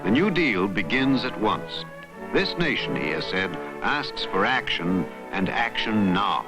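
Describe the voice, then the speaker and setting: Deep, dramatic male documentary narrator. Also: big pitch swings. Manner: dramatic, solemn, measured phrasing. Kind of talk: scripted historical documentary narration, mid-century newsreel manner. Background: music.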